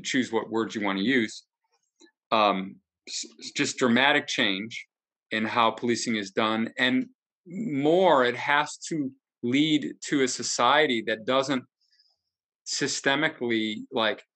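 A middle-aged man speaking earnestly and reflectively in a group discussion, haltingly, searching for his words. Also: mid-range voice, pushed and bright. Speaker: book discussion participant. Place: indoor room, video call. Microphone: computer mic on video call.

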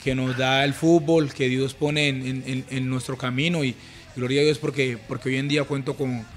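A young Colombian man giving earnest interview answers on a sofa at home, speaking into a handheld mic, calm and full of small restarts. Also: mid-range voice, moderate pace. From Urabá, Colombia. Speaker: interviewed footballer. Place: living room, on a sofa indoors. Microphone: handheld mic held at chest.

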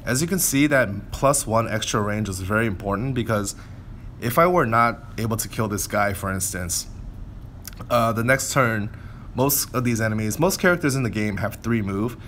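A man with a fairly low voice talks through gameplay casually. He thinks aloud and explains things as he goes, over clearly audible background noise. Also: lively intonation, moderate pace, fairly bright tone. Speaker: gameplay commentator. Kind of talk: casual game commentary.

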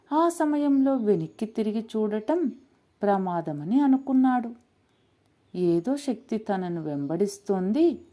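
A woman's voice: medium pitch, mellow. A woman narrating a moral story in a dramatic storytelling style.

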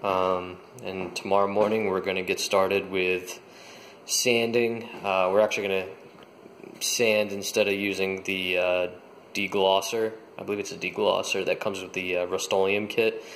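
A young man casually explaining his home renovation work while filming it himself, pausing often with ums and uhs. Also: deep voice, level tone, a bit bright, slight background noise. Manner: hesitant, many ums and uhs. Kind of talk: casual how-to narration. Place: kitchen in a house, indoors. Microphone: handheld camera.

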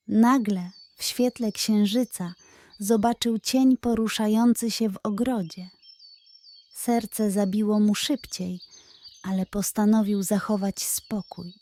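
Female bedtime storyteller, gentle, measured, warm and mellow. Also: mid-range voice.

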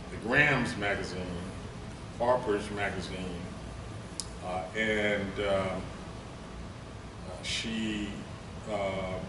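An older man reading poetry at a podium, first chatting haltingly with many fillers, then reciting slowly and gravely. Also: fairly low pitch. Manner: slow, declamatory recitation. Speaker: poetry reader. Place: conference room with lectern. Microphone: podium mic, from a far camera. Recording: roomy, distant, noisy room recording.

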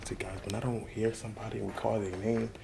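A man's voice: lowish voice, level tone, fast talker, gravelly, a crowd in the background. A man vlogging while exploring an abandoned old factory at night, talking casually in slang, swearing and easily spooked. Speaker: vlogger exploring a haunted building. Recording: noisy handheld camera audio.

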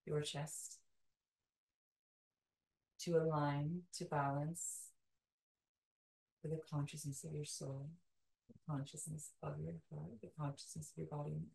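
A woman leading a guided meditation in a soothing, calming way, with slow, deliberate phrases and long pauses. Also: low voice, vocal fry. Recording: roomy echo, distant from mic.

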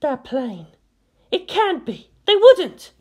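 A playful woman soldier in an anime-style audio story, voiced by a woman narrator. Her pitch sits high, and she talks in a lively, impish, teasing way. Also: slow pace, exaggerated voice. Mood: alarmed.

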